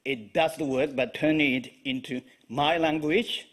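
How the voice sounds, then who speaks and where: A man with a Chinese accent, whose first language is Chinese, giving a live poetry reading in a halting way with frequent fillers. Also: fairly high pitch, fairly even intonation, fairly bright tone. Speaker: poet.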